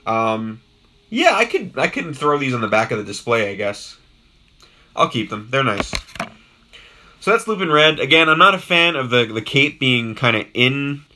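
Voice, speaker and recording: A young man with a raspy, hoarse voice chats casually on a live stream, rambling with many restarts and greeting viewers. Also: medium pitch, sing-song, normal speed, pushed and bright. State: hoarse from a sore throat. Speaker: YouTube host doing a live unboxing.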